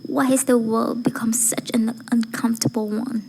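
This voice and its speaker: A woman with a breathy, whispery voice reciting a formal speech in a measured, deliberate way, phrase by phrase. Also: a little high, little pitch movement, darkish tone, a little hiss or hum, rough recording. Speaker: amateur voice-acting student reciting a speech.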